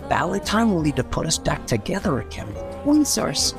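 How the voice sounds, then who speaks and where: A roguish radio-drama mayor. His gravelly voice moves up and down freely as he wisecracks, sly and wary, over faint music. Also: high-pitched, huge pitch swings, normal speed, full character voice. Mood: wary, exasperated.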